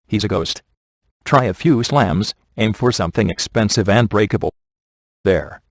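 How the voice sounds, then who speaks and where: A man's voice: fairly low pitch, no room sound. A man playing a wisecracking, laid-back ghost hunter in a video game, with a dry, sardonic and glib delivery. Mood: coaching, smug. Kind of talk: scripted video game character dialogue.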